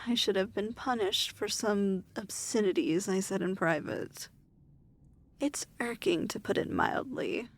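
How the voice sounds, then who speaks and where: Woman acting a slow, gravelly, imperious demon lady. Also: on the high side. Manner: formal, measured, imperious speech. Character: haughty, weary female demon lord with exoskeleton. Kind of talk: ASMR fantasy roleplay acting.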